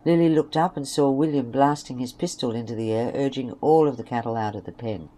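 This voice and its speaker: A woman's voice: a bass voice, brisk, warm tone, poor audio. A woman reading scripted story narration for an amateur audio drama.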